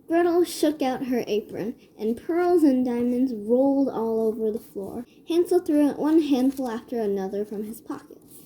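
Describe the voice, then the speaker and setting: A woman reads a children's story aloud in a measured way. Her voice sits fairly high but has a dark, mellow tone. There is a faint noise behind her. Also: animated, poor audio. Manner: measured read-aloud delivery. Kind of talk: scripted children's story narration.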